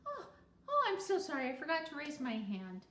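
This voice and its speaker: A woman voicing an eager, curious young schoolchild from a storybook, excitable and questioning. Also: high voice, moderate pace, echoey room, slight background noise, voice pushed up, exaggerated voice. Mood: excited.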